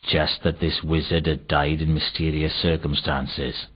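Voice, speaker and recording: An actor playing a surly, sarcastic bartender in a fantasy noir video game, talking in a grudging way. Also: male voice, very deep voice, flat delivery, measured pace, slightly rough, full character voice. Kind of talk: scripted video game dialogue. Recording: dull, lo-fi game audio.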